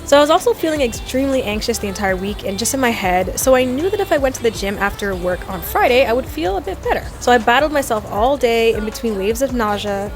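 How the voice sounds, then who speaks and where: Chatty young woman narrating a vlog. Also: a little high. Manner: chatty storytelling, self-deprecating laughs. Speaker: fitness vlogger. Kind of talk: voice-over narration.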